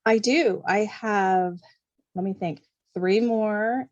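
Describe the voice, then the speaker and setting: Woman author guest, medium pitch, expressive and chatty, casual conversational delivery. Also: takes it slowly, highs cut off. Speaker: podcast guest, novelist and kindergarten teacher. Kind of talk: casual chat.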